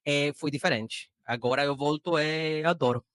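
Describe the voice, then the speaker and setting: Man, higher voice, dry sound. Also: a bit bright, dry recording. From Costa Rica. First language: Spanish. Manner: halting, many restarts and fillers. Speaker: guest. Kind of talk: casual interview chat.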